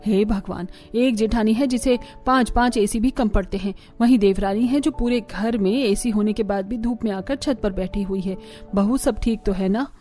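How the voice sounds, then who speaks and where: A woman's voice: medium pitch, normal speed, mellow, soft background music, natural voice. A woman voicing a scolding, exasperated middle-aged mother-in-law in a Hindi cartoon family story. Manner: nagging, exclamatory.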